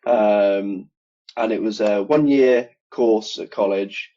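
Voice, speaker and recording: A man in his thirties with an English Midlands accent, explaining his work as an air conditioning engineer in a relaxed interview over a video call. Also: fairly low pitch, slow, a little hiss or hum. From England. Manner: relaxed, explains in detail with many ums. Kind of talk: casual interview conversation. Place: home room, video call. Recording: dull lo-fi video call audio.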